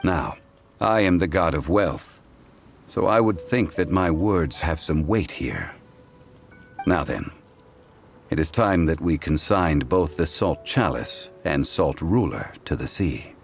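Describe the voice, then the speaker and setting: An actor playing an ancient, dignified male god in a game, speaking slowly and formally in a deep, solemn voice. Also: animated, creaky, full character voice. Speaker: game character. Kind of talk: video game cutscene dialogue. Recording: soft music behind.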